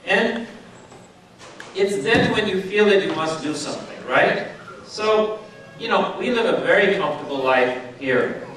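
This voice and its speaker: A man's voice: high-pitched, expressive, a little slow, pauses often, fairly bright tone. A middle-aged man speaking informally to an audience at a charity event, chatty and checking in with listeners, heard through a PA speaker from a distance. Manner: conversational, checks in with audience, many fillers. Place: event hall. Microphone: PA speaker, recorded from a distance. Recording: very echoey hall, voice from far off.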